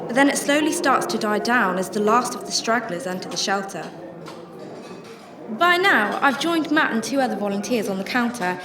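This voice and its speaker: A woman's voice: a little high, far from the mic, lightly acted. A woman playing a compassionate, troubled homeless shelter volunteer, narrating and speaking in a radio drama. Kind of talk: first-person radio drama narration and dialogue. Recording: roomy sound with music behind.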